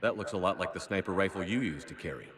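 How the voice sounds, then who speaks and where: Deep-voiced military commander played by an actor. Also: male voice, quick pace, a crowd in the background, natural voice. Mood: curious, surprised. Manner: plain, terse questioning. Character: gruff, inquisitive male military commander. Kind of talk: video game character acting.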